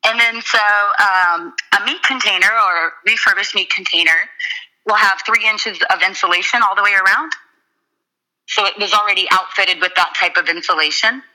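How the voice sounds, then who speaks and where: A woman, the guest, explaining her urban farm in a casual interview, steady with frequent ums. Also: medium pitch, normal speed, low-quality recording.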